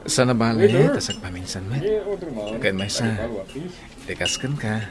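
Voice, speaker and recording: A man's voice: lowish voice, a little slow, over a noisy crowd, natural voice. A man acting an earnest young suitor. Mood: reassuring. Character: earnest grown son and attentive suitor. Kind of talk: audio drama acting.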